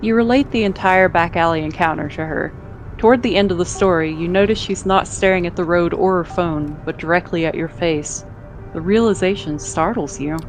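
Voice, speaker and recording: A woman's voice: fairly low pitch, normal speed, soft background music, lightly acted. A woman reading scripted second-person narration as the narrator of an amateur story dub.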